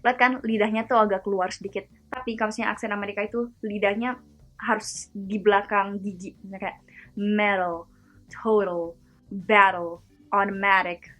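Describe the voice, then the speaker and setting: A woman from Indonesia hosting a casual vlog-style lesson, chatty and slowing down to demonstrate words one at a time. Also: mid-range voice, fairly even intonation, fairly bright tone, low-quality recording. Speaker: video host teaching pronunciation.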